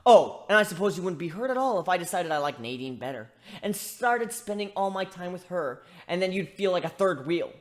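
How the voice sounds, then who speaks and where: A man's voice: high-pitched, animated, a little fast, hardly any pauses. A man voicing a young male griffin in a dramatic story reading, hurt and bitter, ranting with sarcastic emphasis. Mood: bitter sarcasm. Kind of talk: dramatic fan fiction reading.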